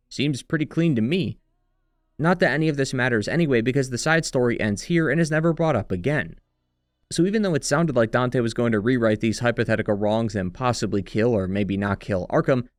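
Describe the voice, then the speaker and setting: A man's voice: medium pitch, no room sound, studio quality. A man narrating a scripted retrospective as a video essayist, with sardonic, wry commentary. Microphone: close, dry voice-over mic.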